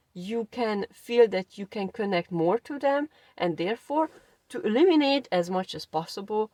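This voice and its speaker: A woman's voice: mid-range voice, dry recording, rough recording. A woman in her thirties from Transylvania with a Hungarian accent, a language coach earnestly telling her own story to camera with fillers and self-corrections. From Transylvania, Romania.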